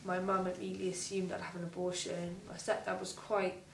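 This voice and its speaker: A young woman in her late teens, a young mother, telling her story hesitantly with pauses. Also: on the low side, completely flat delivery. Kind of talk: personal testimony. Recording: roomy, distant, faint noise.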